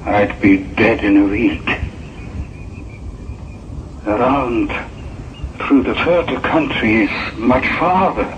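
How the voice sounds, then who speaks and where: Male actor as reluctant brooding prophet, slow deliberate musing, biblical audio drama, muffled noisy old tape. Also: medium pitch, close to an everyday voice. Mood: troubled musing. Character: reluctant male prophet reasoning anxiously to himself.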